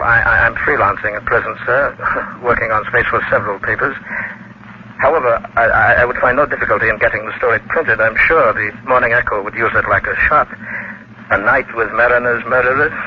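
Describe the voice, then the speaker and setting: A man's voice: mid-range voice, fairly quick, close to an everyday voice. A man playing an eager, hesitant freelance journalist in an old radio drama, polite and deferential, stammering as he talks. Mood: nervously keen. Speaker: narrator who also voices characters. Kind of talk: 1940s radio drama acting. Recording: muffled, noisy old radio recording.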